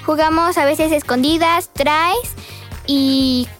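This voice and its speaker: Giggly high-voiced teen girl. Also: fairly even intonation, slow, fairly bright tone, soft background music. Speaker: student radio co-host. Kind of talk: casual radio chat.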